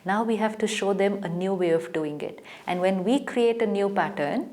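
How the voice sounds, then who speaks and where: A woman with an Indian English accent, calmly explaining spiritual ideas in a gentle, measured way as the teacher on a TV talk show. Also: mid-range voice. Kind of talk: calm spiritual guidance in a TV talk.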